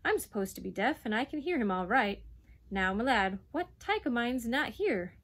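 A woman voicing a gruff, blustering old male duke who puffs and sputters in broad dialect. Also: on the high side, big pitch swings, normal speed, a bit bright.

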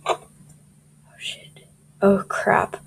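A woman's voice: very slow, in a whisper. A young woman with a scratchy voice doing casual gameplay commentary, jittery and cursing as she talks to viewers.

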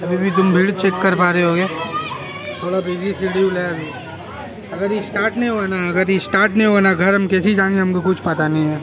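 A man's voice: high-pitched, flat delivery, brisk, crowd noise. A man, chatting casually and colloquially to viewers as a motovlogger, often repeating phrases. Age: 20s. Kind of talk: casual chat to viewers, riding commentary. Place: outdoors on a moving motorbike, partly in a small shop. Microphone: action camera. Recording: noisy, with road and vehicle sounds.

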